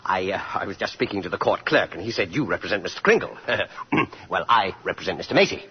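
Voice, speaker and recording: A timid, fussy store official in an old radio play, husky and breathy, stammering and flustered, his pitch jumping up and down. The broadcast sounds muffled and lo-fi with faint music behind. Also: sing-song, a little fast, exaggerated voice. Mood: fawning. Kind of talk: 1940s radio acting.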